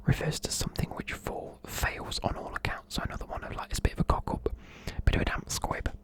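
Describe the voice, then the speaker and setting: A person with a British accent whispering informal, chatty, matey explanations in an ASMR style. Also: fast. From United Kingdom. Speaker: presenter explaining slang.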